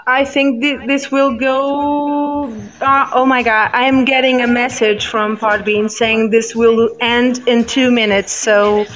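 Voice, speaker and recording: Slow-talking Portuguese-accented woman host. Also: a little high, little pitch movement, fairly bright tone. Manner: hesitant, many fillers, laughs easily. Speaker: podcast host. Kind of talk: casual live chat.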